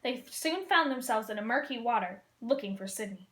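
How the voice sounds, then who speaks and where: A woman reading a children's picture book aloud as a costumed storyteller, in a lively, expressive way. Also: on the high side, brisk. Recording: roomy echo.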